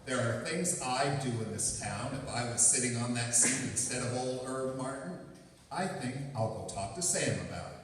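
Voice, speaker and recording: A man's voice: medium pitch, lively intonation, normal speed, close to an everyday voice. A man playing an earnest, blustering husband with political ambitions in a stage radio play. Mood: earnest, resolute. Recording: very echoey hall, far from mic.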